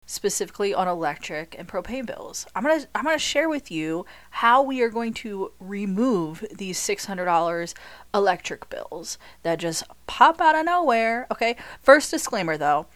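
A woman's voice: mid-range voice, big pitch swings, normal speed. A woman in her thirties hosting a podcast as a money coach, talking chattily with jokey asides, a little nasal from a cold. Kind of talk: solo podcast talk.

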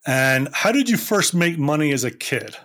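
A man from Scotland, hosting a casual podcast interview with brisk, upbeat questions. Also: fairly high pitch, very animated, a bit bright, a little hiss or hum. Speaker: podcast host.

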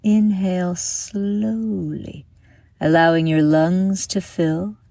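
A woman guiding a meditation from a script in a soothing, measured manner, with breathy exhaled phrases. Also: fairly low pitch, fairly even intonation, warm tone, no echo, clean studio sound. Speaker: meditation guide and host. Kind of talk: guided meditation, scripted narration.

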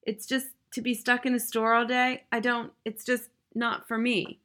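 A woman voicing a sheepish young son, talking low and hesitant. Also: flat delivery, moderate pace, bright, forceful tone.

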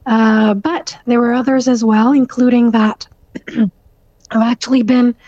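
Mellow-voiced woman, dull lo-fi audio. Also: a little high, little pitch movement, a little slow, no room sound. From Canada. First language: Urdu. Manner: thoughtful, many fillers, soft laughs. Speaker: guest author. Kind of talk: casual interview answers.

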